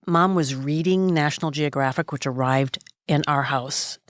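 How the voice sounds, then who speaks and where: A woman in her sixties from Minnesota with a low, smoky voice, speaking softly and reflectively as a podcast guest. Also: normal speed, no room sound. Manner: soft-spoken, reflective storyteller. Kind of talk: casual conversation. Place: recording studio.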